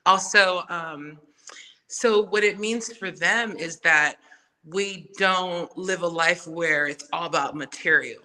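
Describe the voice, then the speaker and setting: A woman's voice: on the low side, slow pace, bright, forceful tone. A woman from Samoa, a native Samoan speaker, talking candidly and casually with slang and swearing as a podcast guest. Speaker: podcast guest, music artist. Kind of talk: casual conversational interview.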